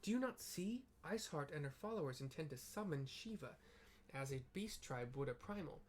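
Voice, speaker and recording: A man's voice: on the high side, lively intonation, moderate pace, slight background noise, close to an everyday voice. A man reading the lines of an earnest young male scholar aloud, in a voice close to his own. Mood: urgent. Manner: reads lines aloud in a light, near-natural voice.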